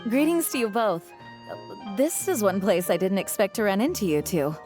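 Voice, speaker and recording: A courteous, dutiful young woman knight from an anime-style game, played by an actress. Her voice sits at a medium pitch, measured and formal. Faint music runs behind it. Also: big pitch swings, a little mellow, natural voice.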